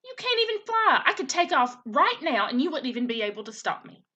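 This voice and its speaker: Woman voicing a moody young gosling boy, pitched up. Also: very animated, brisk, pushed and bright, muffled recording, exaggerated voice. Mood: indignant. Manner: childlike, emotional, pleading and protesting. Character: young male gosling, moody, questioning, defiant, attached to his robot mother.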